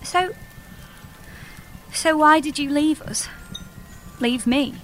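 A woman's voice: high voice, slow pace, soft background music. An actress playing a wounded, exasperated daughter in her thirties in an audio comedy, bluntly pressing for answers. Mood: incredulous. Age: 30s. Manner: blunt, insistent, aggrieved. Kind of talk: audio comedy drama acting.

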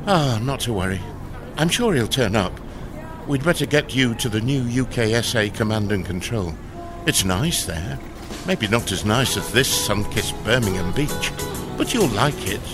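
A man's voice: on the low side, lively intonation, moderate pace, music in the background, lightly acted. A man playing a deluded, awkwardly cheerful would-be rescuer in a sci-fi audio comedy, blandly upbeat. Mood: upbeat, reassuring. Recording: clear outdoor ambient noise behind voice.

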